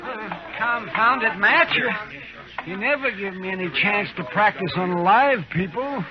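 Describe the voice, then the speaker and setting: A man playing a crotchety, dryly morbid older frontier doctor, querulous and halting, in a 1950s radio western. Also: high-pitched. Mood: grumbling. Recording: noisy muffled old radio recording.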